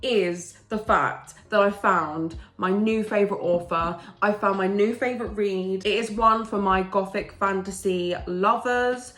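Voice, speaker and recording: A woman's voice: mid-range voice, unhurried, few pauses, fairly bright tone, echoey room. A woman with a blocked nose from a cold chats eagerly as a book vlogger, gushing about her recent reads. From England. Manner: gushing, enthusiastic, chatty. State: congested from a cold. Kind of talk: casual book review chat.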